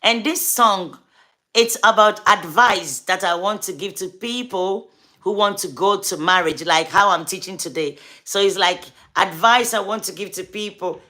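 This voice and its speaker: A woman's voice: mid-range voice, normal speed, pushed and bright. A woman with a Ghanaian accent, a gospel artist hosting a casual livestream, chatting with viewers by name and urging them to subscribe.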